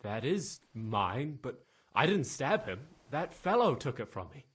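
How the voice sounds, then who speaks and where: A frightened young stable lad from a video game drama. His voice is husky and mid-pitched. He stammers and halts nervously, sounding guilt-ridden as he gives his account. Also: very animated, unhurried, fairly bright tone, exaggerated voice. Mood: defensive, nervous. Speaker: video game character, witness being questioned. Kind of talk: dramatic game cutscene acting.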